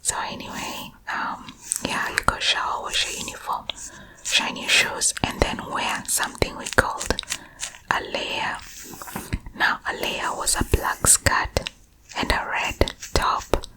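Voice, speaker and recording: A woman's voice: slow, low-quality recording. A woman from Uganda telling personal stories in a conversational, rambling ASMR style. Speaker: storyteller recalling her own school days. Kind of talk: whispered ASMR storytime.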